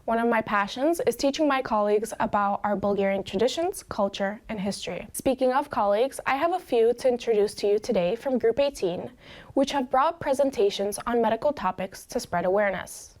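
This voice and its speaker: A woman's voice: fairly high pitch, a little fast, darkish tone. A young woman in her twenties with an American accent, giving a prepared introduction as a student presenter. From Chicago, United States. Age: 20s.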